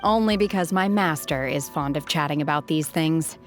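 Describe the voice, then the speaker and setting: Actress doing a lowish calm aloof girl, formal n detached, game cutscene, faint music under it. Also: lightly acted. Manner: composed, detached, measured, formal. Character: aloof, calm young woman raised apart from mortals. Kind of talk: restrained game voice acting.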